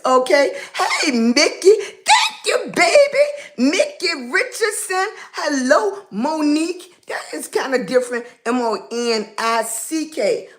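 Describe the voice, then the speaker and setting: A Southern woman from Virginia chats through a phone live stream in a high, unhurried voice. She welcomes viewers one by one, drawls her words and calls everyone baby. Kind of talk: casual live-stream chat greeting viewers.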